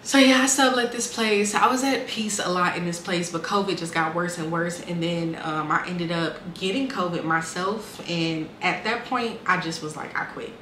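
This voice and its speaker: A woman's voice: on the low side, normal speed, few pauses, fairly bright tone, roomy sound, slight background noise. A woman in her twenties casually and candidly telling her life story, with lots of "um" and "like". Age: 20s. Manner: candid, conversational, frequent fillers and restarts.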